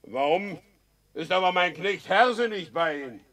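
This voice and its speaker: A man's voice: high voice, expressive, measured pace, fairly bright tone, full character voice. An actor playing a proud, wronged horse dealer in a German radio drama, speaking formally and emphatically as he insists on his rights. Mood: uneasy questioning.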